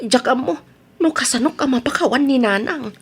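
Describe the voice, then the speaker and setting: A woman acting a hurt, sharp-tongued grown daughter who holds a grudge, in an Ilocano radio drama. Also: high-pitched, moderate pace, breathy edge, full character voice. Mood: hurt, subdued.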